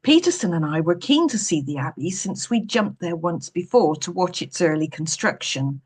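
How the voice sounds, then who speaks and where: A woman's voice: lowish voice, lively intonation, moderate pace, a little mellow, breathy edge. A woman reading a first-person story aloud in a dry, wry, understated way. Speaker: author reading own novel aloud.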